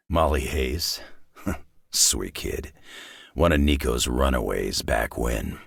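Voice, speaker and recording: A surly, middle-aged video game superhero with a North American accent. The voice sits extremely low and is thick with gravel. The delivery is sardonic and clipped, swinging widely in pitch, full of folksy tough talk. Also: male voice, a bit bright, no echo, studio quality, full character voice. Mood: grim, wry. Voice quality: gravelly, raspy. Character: gruff, surly middle-aged male superhero brawler, dry-witted. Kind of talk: video game character voice lines.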